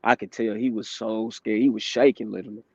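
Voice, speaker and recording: A man's voice: fairly low pitch, fairly even intonation, a little fast. A man in his twenties giving candid, casual testimony as a guest, laughing between points. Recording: dry dull lo-fi sound.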